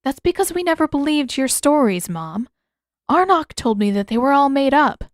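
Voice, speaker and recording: A woman's voice: high-pitched, very animated, normal speed, dry recording, clean studio sound, pitched above the performer's own voice. A woman voicing a young woman, a skeptical and curious daughter who speaks bluntly and asks questions.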